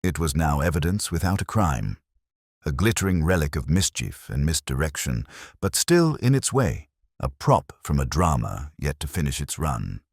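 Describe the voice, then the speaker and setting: A man narrating a scripted mystery story in a measured, literary manner. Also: rumbling low, big pitch swings, dry recording, clean studio sound.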